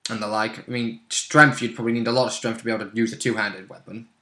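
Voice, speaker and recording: A man's voice: fairly low pitch, steady tone, quick pace, pushed and bright. A man chatting casually about the rules and story of a tabletop role-playing game as a co-host. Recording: roomy, echoing room sound.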